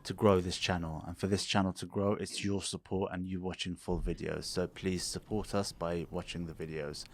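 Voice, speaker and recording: A man's voice: low voice, little pitch movement, normal speed, slight background noise. A man co-hosting a podcast who introduces a guest and prompts him with eager questions. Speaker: podcast co-host. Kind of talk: casual interview chat.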